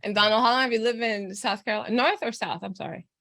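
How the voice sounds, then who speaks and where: A woman's voice: a little high, fast, pushed and bright, no echo, studio quality. A woman hosting a podcast, chatting casually and encouragingly with her guests, laughing often. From Texas, USA. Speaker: podcast host and coach. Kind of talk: casual interview chat.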